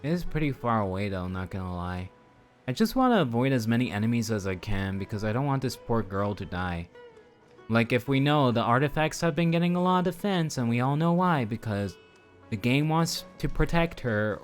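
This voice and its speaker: A man streams a video game with casual, playful commentary. His mid-pitched voice swings up and down in a lively way as he rambles to his viewers. Game music plays behind him. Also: normal speed. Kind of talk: casual live gameplay commentary.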